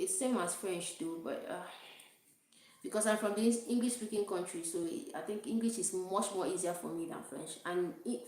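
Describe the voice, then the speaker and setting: A woman's voice: mid-range voice, darkish tone. A young Nigerian woman with an accent, chatting casually and rambling on a livestream, often laughing at herself. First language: Igbo. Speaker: vlogger. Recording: very echoey room.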